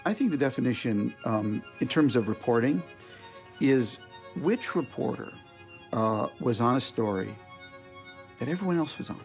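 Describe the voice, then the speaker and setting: A man with a middling pitch and a gravelly edge. He explains things deliberately, landing each short phrase with emphasis, over faint music. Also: animated. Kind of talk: explanatory documentary interview.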